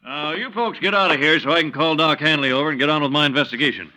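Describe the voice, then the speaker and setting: An actor playing an officious, suspicious young police sergeant in a 1950s radio drama, brusque and bossy. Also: male voice, fairly high pitch, quick pace, bright, forceful tone, poor audio. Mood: irritated, bossy.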